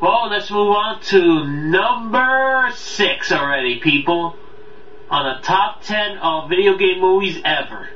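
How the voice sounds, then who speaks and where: A man hosting a casual countdown review, talking off the cuff in a rambling, halting way that often loses its thread. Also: high-pitched, lively intonation. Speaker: video countdown host and reviewer. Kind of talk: casual unscripted review talk to viewers. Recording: roomy, distant, dull mic, faint noise.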